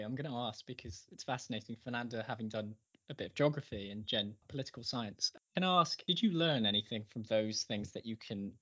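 A man's voice: medium pitch, sharp tone, breathy edge, lo-fi sound. A man hosting a podcast and interviewing guests in an encouraging, conversational way.

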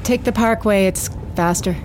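A woman's voice: mid-range voice, moderate pace, lightly acted. A woman playing a self-assured taxi passenger giving directions in an audio drama. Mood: assertive. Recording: noisy vehicle and traffic ambience.

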